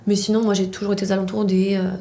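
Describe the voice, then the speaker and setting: A woman's voice: mid-range voice, level tone, racing, mellow. Young woman, hesitant interview guest. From Poland. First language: Polish. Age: young adult. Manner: hesitant, many fillers and restarts. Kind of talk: casual interview.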